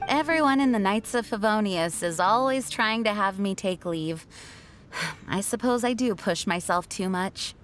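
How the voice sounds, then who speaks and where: A woman voicing a dutiful, composed young knight leader who speaks formally and earnestly in an animated video game. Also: mid-range voice, natural voice. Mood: weary. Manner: formal, measured phrasing. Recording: music behind.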